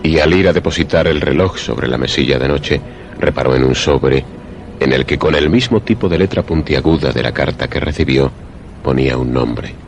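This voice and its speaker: A man narrating a radio suspense play in a solemn, deliberate, literary manner. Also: very deep voice, creaky, background noise.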